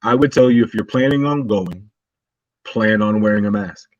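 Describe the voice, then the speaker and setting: Laid-back, low-voiced male stream host. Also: moderate pace, highs cut off. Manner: laid-back, drawling, many "uh" and "um" fillers. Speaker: livestream host. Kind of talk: casual chat, reading chat messages.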